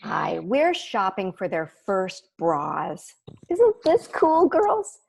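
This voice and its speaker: A woman's voice: medium pitch, huge pitch swings, slow, creaky, a little mellow, breathy edge, heavily acted. A woman reading the part of a cheerful, oblivious suburban mom at a sitcom table read. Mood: chirpy.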